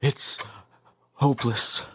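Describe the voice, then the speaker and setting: A man's voice: takes it slowly, bright, forceful tone. A man playing a dying older police captain in a comic dub, gasping and pleading in a weak, strained, fatherly voice. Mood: desperate, failing. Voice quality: breathy, strained. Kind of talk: dramatic comic dub acting.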